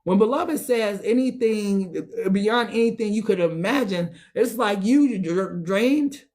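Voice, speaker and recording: A woman giving a tarot reading to her viewers, slangy and profane, preaching with loads of enthusiasm and a voice that swings high and low. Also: measured pace, darkish tone. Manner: talks straight to viewers as a group. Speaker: tarot reader. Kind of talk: casual tarot reading to camera.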